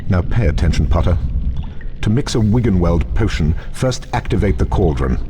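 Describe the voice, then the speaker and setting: An actor playing a cold, contemptuous potions master in a video game, with a slow, sardonic, disdainful delivery. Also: male voice, low-pitched, animated. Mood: stern, contemptuous. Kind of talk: video game cutscene acting. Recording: clearly noisy old game audio.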